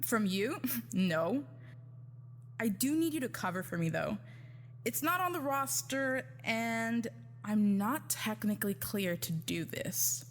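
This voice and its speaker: An actress playing a guarded, self-reliant veteran agent in an audio drama. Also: female voice, a little high, expressive, takes it slowly. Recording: hall reverb, faint hum.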